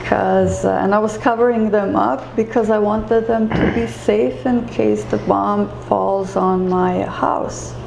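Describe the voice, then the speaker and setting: Slow, gravelly woman in an echoey room. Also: mid-range voice, steady tone. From Croatia. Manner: halting and reflective, many fillers and restarts. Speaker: interview guest. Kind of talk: personal story in an interview. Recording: echoey room with faint ambient noise.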